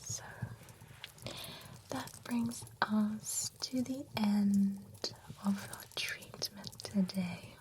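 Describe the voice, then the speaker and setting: A woman voicing a genteel female vampire spa attendant in an ASMR roleplay, whispering close to the mic in a gently chatty, courteous way. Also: mid-range voice, drawn-out words, long pauses. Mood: soft, courteous.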